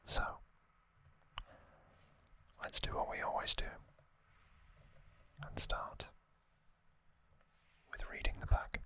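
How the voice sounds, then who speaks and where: A man whispering softly in a breathy, deliberate ASMR narration, speaking in short phrases with pauses. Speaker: host of an ASMR channel. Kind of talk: whispered ASMR trivia narration and reading aloud.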